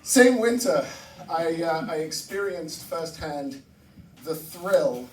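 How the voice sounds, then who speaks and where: Man from Barbados, stand-up comic, high lively voice, echoey room, distant mic, noisy recording. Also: slow. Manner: animated, swearing, voice jumps high for punchlines. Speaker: stand-up comedian. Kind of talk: stand-up comedy set. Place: comedy club stage with audience. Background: audience laughter.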